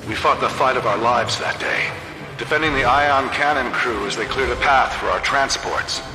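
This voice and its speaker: Man voicing grave war veteran, echoing over loud music. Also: medium pitch, lightly acted. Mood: grim, reflective. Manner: grave, measured storytelling. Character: battle-weary male rebel squadron veteran recounting his war. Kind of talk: scripted dramatic narration in a war game. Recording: echoing hall reverb, loud music and battle sounds.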